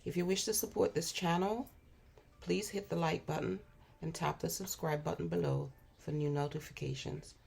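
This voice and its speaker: A hoarse woman with a cold, giving a spiritual tarot reading in an unhurried, musing way with frequent pauses and fillers. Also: fairly low pitch, fairly even intonation, darkish tone. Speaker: tarot reader.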